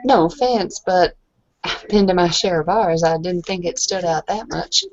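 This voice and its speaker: A woman's voice: fairly low pitch, moderate pace, dull audio. A woman improvising casual in-character roleplay talk as a blunt, curious bar-goer, rambling and slangy. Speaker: tabletop roleplay player.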